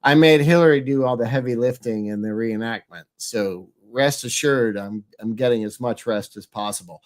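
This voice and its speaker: A man with a head cold, nasal and congested, hosting a casual show in a halting, rambling way. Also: medium pitch. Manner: halting, searching for words. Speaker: podcast host.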